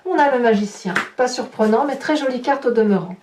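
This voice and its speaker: A woman's voice: on the high side, a little fast. A woman giving an improvised tarot reading to viewers, conversational, commenting on cards as she turns them and tagging her phrases with little interjections. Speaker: tarot reader and horoscope host. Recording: echoey room, distant mic, faint noise.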